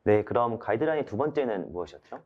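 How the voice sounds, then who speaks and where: A man's voice: fairly low pitch, very animated, fast. A man hosting a webinar, politely summing up and asking questions. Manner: polite, summarizing. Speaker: webinar host. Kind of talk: moderating with questions. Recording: dull band-limited webinar audio.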